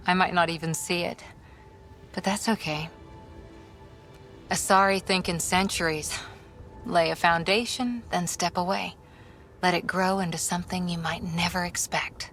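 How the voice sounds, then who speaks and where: A woman's voice: lowish voice, fairly even intonation, unhurried, long pauses, fairly bright tone, soft background music. A woman playing a wry, tough alien adventurer in a video game, talking in short, dry, plain-spoken phrases. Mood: reflective. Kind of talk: naturalistic video game acting.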